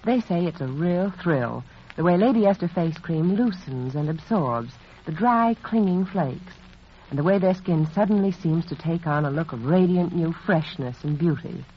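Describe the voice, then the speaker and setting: A woman reads a vintage radio commercial as hostess, addressing the listener intimately. Her intonation is lively, and the old recording is muffled with faint hiss. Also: fairly low pitch, moderate pace. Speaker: hostess and sponsor spokeswoman. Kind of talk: scripted 1940s radio advertisement.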